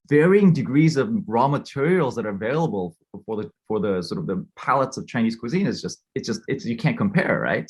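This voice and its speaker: Male guest from Korea: husky, animated delivery, talks things through at length over an earbud mic. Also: medium pitch. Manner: thoughtful, explaining at length. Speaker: guest, watch collector. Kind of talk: casual interview chat.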